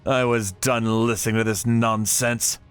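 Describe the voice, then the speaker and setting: A man's voice: on the low side, level tone, a little slow, clean tone, faint music behind. A man narrating a dramatic story in the first person with theatrical delivery. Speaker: narrator of a manga channel story.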